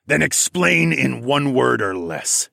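A man's voice: lowish voice, big pitch swings, creaky, a bit bright, husky, no room sound, studio quality, exaggerated voice. A man doing a growling, stern wolfman leader. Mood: scornful. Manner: slow, cold, commanding. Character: stern, placid male grey wolfman leader, growling and implacable. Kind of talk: audiobook character voice.

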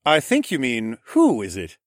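A man's voice: medium pitch, wildly swooping pitch, normal speed, no room sound, studio quality, voice pushed up. A man voicing a roguish, breezy male falcon man who jokes easily with friends. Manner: breezy, joking.

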